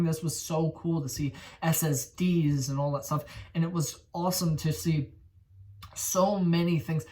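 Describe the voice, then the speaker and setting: A man in his twenties hosting a gaming channel, talking in casual commentary that rambles, gets excited and corrects itself. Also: fairly high pitch, recorded from a distance. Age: 20s.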